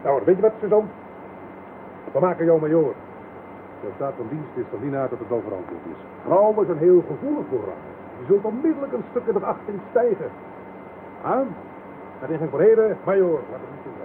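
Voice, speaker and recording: An actor playing a smug, jovially callous army general in a radio play, patronising and cajoling. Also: male voice, a little high, very animated, fairly quick. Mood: pompous, dismissive. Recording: noisy, tinny 1970s radio play recording.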